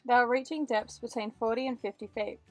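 A woman giving a calm, scripted voice-over for a family sailing vlog. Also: a little high, fairly quick. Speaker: vlog narrator. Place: voice-over, not recorded on location. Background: loud music bed.

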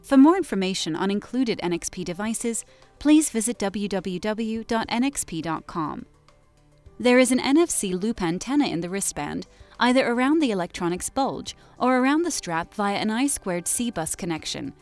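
A woman narrates a corporate product video in a steady, measured delivery, every word cleanly enunciated. Her voice sits at a middle pitch. Soft music plays faintly underneath. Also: dry recording. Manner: even, measured, clearly enunciated. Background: soft background music.

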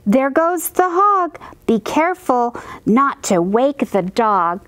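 A woman voicing a scheming, bumbling male cattle thief in a storybook, hushed and conspiratorial. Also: high voice, sing-song, takes it slowly, full character voice. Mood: sneaky, confident.

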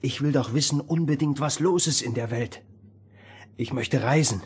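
An actor playing an eager, boastful teenage miller's son, impetuous and excitable, in a fairy-tale radio play. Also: male voice, medium pitch, moderate pace, breathy edge. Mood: eager chatter. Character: eager, cocky fifteen-year-old miller's son longing for adventure.

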